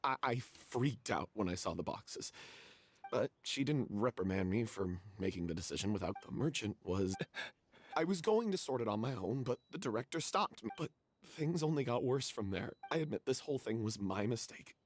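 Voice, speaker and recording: An actor playing a guilt-ridden, cowardly young troupe member in a video game, halting and apologetic. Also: male voice, on the low side, animated. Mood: ashamed, confessing. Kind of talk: dramatic video game voice acting.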